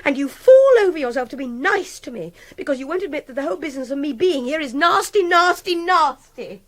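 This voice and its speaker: A woman's voice: sits high, expressive, a little fast. An actress playing a volatile, articulate young female art student held captive, with an English accent and an imperious, mocking manner in a radio drama. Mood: angry, exasperated. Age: young adult. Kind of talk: BBC radio drama acting.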